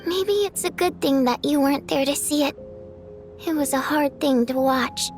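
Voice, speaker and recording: An actress playing a gentle, thoughtful young woman in a video game, speaking softly, wistfully and with care. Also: high-pitched, moderate pace, soft background music, lightly acted. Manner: soft, wistful, caring.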